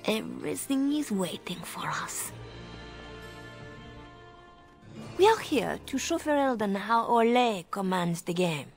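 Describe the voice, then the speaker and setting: An actress playing a seductive, commanding spymaster in a video game, with a teasing, possessive, silky manner. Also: female voice, medium pitch, expressive, normal speed, soft background music, close to an everyday voice. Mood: smug.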